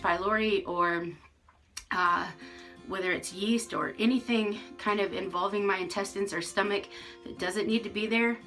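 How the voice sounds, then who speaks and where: Woman in her forties, chatty casual vlogger, frank, bright clear tone, faint music underneath. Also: mid-range voice. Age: 40s. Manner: chatty, frank, many ums and restarts. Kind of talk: casual vlog update to camera. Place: rural home.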